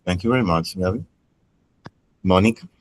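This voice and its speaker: A man with a deep voice leads an English class, calling on each student by name. He keeps his words brief, mostly thanking them and moving on. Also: normal speed. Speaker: English teacher.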